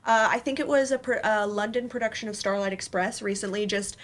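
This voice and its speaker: A woman's voice: medium pitch, fairly quick. A woman on a panel chatting informally, with a lot of "like" as she talks. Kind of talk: casual panel discussion. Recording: noisy room at a live event.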